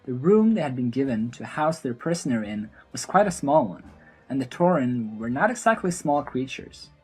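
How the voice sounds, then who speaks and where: Casting: female storyteller, very deep and husky, lively intonation, scripted fantasy narration with faint music behind. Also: normal speed, rough recording.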